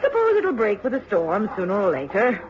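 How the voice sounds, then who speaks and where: A woman's voice: mid-range voice, huge pitch swings, fairly quick. A woman playing a forthright, motherly inn landlady, chatty and folksy, in a radio drama. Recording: dull radio recording, crowded pub behind.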